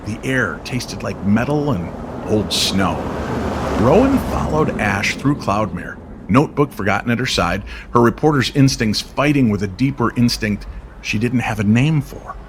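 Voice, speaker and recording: A man's voice: mid-range voice, lively intonation, normal speed, background noise. A man reading dramatic scripted narration for a story.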